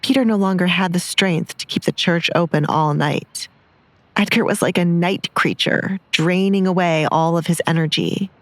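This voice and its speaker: A woman's voice: fairly low pitch, moderate pace, no echo. A woman reading scripted dramatic story narration.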